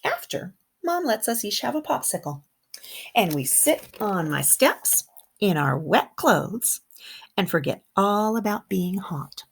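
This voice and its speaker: Slow, very animated female kindergarten teacher reading aloud. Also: mid-range voice, gravelly, breathy edge. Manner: animated, simple wording, pauses to comment and question. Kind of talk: expressive picture-book read-aloud for young children, with asides.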